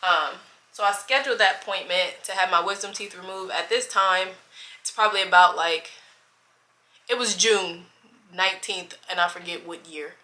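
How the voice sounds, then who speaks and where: A woman's voice: lowish voice, normal speed, sharp tone. A woman in her twenties chatting casually to her camera, rambling and stopping to correct herself. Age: 20s. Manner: rambling, loses track of the timeline and self-corrects. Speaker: vlogger. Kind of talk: casual chat.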